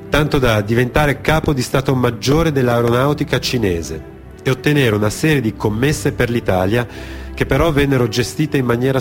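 A man delivering a dramatic narrative monologue in an emphatic, cadenced way. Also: medium pitch. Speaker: theatre storyteller. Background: music.

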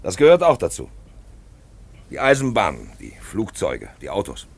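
Probing philosophical stranger, very low, husky, insistent, rhetorical, brooding, radio play. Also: male voice, wildly swooping pitch, normal speed, full character voice. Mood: brooding, urgent. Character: probing, brooding male stranger who philosophizes about modern life. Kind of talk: German radio play acting.

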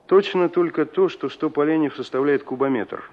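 Actor as guarded young forester, muffled old radio. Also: male voice, fairly high pitch, moderate pace, darkish tone, close to an everyday voice. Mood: hesitant. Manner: hesitant, laconic. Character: young village forester, guarded, plain-spoken, jealous suitor. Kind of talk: 1960s Soviet radio play acting. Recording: dull old radio drama recording.

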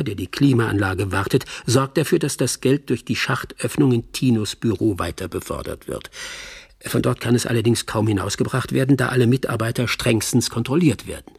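A sceptical, analytical man in a radio play. His voice is lowish and husky with a lot of gravel. He explains things wryly, in a very dry, close recording. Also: a little mellow, no echo. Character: sceptical, wry man commenting on a writer's script. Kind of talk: radio drama monologue, explanatory.

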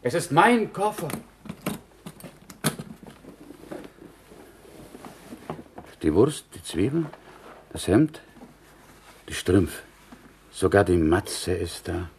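A humble, devout prisoner in a 1970s radio drama. His low voice is husky and creaky. He speaks politely, in ornate inverted phrases, full of wonder. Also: huge pitch swings, slow pace, long pauses, exaggerated voice. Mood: amazed, joyful. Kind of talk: 1970s German radio play acting.

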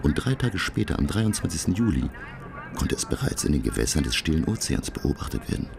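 An actor playing a learned, middle-aged French professor who narrates his sea adventure in a radio play with formal, measured, dramatic delivery and a gravelly voice. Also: rumbling low, big pitch swings, noisy background, heavily acted. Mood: intrigued, suspenseful. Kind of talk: radio play first-person narration, literary.

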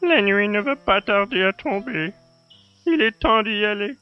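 Guy putting on a really high-pitched grave alien warrior, solemn, kinda lo-fi sound. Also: lively intonation, unhurried, faint music behind. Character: grave alien native ally, male.

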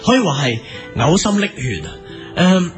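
A man's voice: on the high side, sing-song, normal speed. A man with a Hong Kong Cantonese accent, telling mock-serious comic tales as a boy band songwriter. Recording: light music behind the voice.

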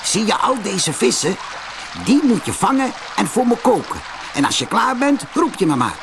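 A man's voice: high-pitched, very animated, moderate pace, background noise, voice pushed up. A man voicing a big, bossy, threatening cat in a storybook, with an imperious manner. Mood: demanding.

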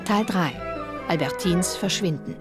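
A woman with a fairly low voice reads radio drama credits as a scripted announcement. Loud music with glockenspiel plays behind her. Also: a little slow. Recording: studio voice under loud music.

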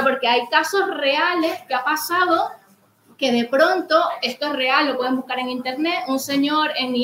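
Venezuelan woman comedian hosting live, high voice, jokey, echoey noisy room. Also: a bit bright. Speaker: podcast host, comedian. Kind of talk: live stand-up style hosting before an audience. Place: live comedy venue with audience.

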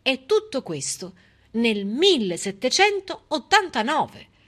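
A woman delivering a theatrical monologue in an expressive, emphatic way, with conversational asides. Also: sits high, slow. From southern Italy. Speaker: stage actress performing a monologue. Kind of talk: theatrical civic monologue, storytelling.

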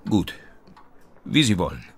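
A man's voice: deep voice, big pitch swings, unhurried, a little hiss or hum, full character voice. An actor playing a meek, polite, slightly puzzled male visitor in a radio drama. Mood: mildly puzzled.

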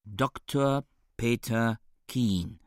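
An actor playing a proud, stubborn and confused scholar in a radio drama. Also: male voice, mid-range voice, very slow, dry recording, clean studio sound. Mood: proud, insistent. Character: adult male scholar, proud, stubborn, confused under interrogation. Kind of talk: German radio drama acting.

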